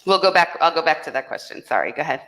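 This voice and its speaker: A woman hosting a live Q&A and informally explaining a product, with a slightly halting delivery. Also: low-pitched, expressive, fairly bright tone. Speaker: host and company representative.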